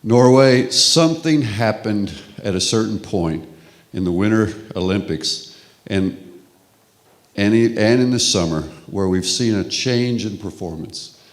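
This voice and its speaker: A middle-aged man from Texas with a Texan accent, giving a relaxed, conversational conference lecture with frequent restarts. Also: on the low side, a little slow. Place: conference hall.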